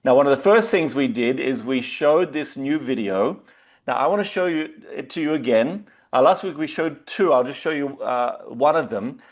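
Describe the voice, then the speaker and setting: A man's voice: mid-range voice, animated, normal speed. A man with an Australian accent hosting a webinar, talking loosely and casually with frequent fillers. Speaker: webinar host. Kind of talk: casual presentation.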